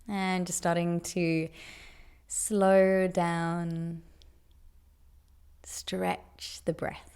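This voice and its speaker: A woman leading a guided meditation with gentle, deliberate instruction in long, unhurried phrases. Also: fairly low pitch, gravelly, smooth.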